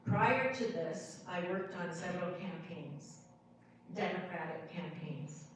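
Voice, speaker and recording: A woman's voice: fairly low pitch, measured pace. A woman making unscripted remarks as a local group organizer at a town hall. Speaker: local political group founder. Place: indoor town hall meeting room. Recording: distant, very echoey room, faint noise.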